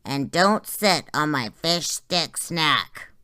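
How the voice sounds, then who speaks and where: A woman's voice: sits low, expressive, slow, bright, forceful tone, smooth, heavily acted. A woman voicing a kind, puzzled young male cat in a storybook, earnest and emphatic. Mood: reassuring. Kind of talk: storybook character voice.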